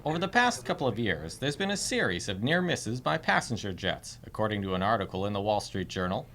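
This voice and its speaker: A man's voice: lowish voice, very animated, fairly quick, fairly bright tone, slight background noise. A man narrating a news documentary from a script as the reporter.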